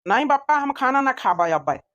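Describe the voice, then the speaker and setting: A stubborn young bridegroom played by a woman in a village comedy cartoon. The voice is very high and demanding, with a sulky insistence, on a dull, lo-fi recording. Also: very animated, fairly quick, a bit bright. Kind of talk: amateur comedy cartoon dialogue.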